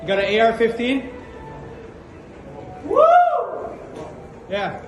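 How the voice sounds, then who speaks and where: A man's voice: extremely high pitch, huge pitch swings, drawn-out words, lo-fi sound, over music. A man in a viral street clip heckling strangers with crude, repetitive taunts.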